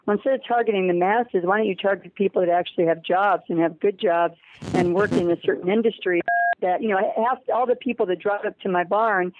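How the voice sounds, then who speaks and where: A woman's voice: medium pitch, fairly even intonation, a little fast, noisy background. A woman being interviewed, explaining her program casually and emphatically. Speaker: interview guest. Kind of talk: casual spoken pitch for a program. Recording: tinny narrowband phone line.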